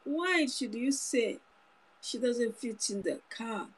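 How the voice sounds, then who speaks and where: A woman's voice: fairly high pitch, animated, slow, slightly rough. A woman in her thirties giving an informal, earnest sermon to her phone camera in a small room, often repeating and restarting phrases. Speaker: preacher. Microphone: phone camera close up.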